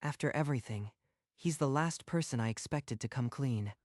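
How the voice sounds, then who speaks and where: A man's voice: medium pitch, moderate pace, no room sound, natural voice. A man voicing a humble young office worker in a manga dub, with an earnest, restrained delivery. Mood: wary. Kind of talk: manga dub acting with inner monologue.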